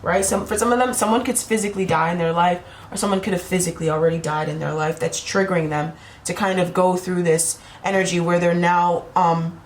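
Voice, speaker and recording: A woman's voice: lowish voice, a little hiss or hum. A woman giving a casual tarot reading to her viewers, talking in a rambling way with frequent "right?" asides while losing her voice. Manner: rambling, repeats "right?" and "you know?" to the viewer. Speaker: tarot reader. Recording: echoey room with faint ambience.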